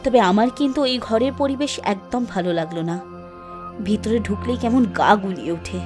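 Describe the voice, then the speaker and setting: An actress playing a determined young woman investigating a village mystery in a horror audio drama, insistent and expressive. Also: medium pitch, normal speed, close to an everyday voice. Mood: uneasy. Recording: music bed behind the voice.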